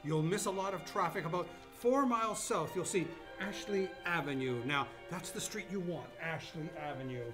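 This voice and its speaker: Man acting a high-voiced kindly old Santa. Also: normal speed, hardly any pauses, music in the background, close to an everyday voice. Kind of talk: community radio play acting.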